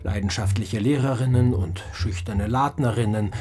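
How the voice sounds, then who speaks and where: A man's voice: on the low side, normal speed, warm tone, natural voice. Man playing urbane man-about-town, guitar behind. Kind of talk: German radio play acting.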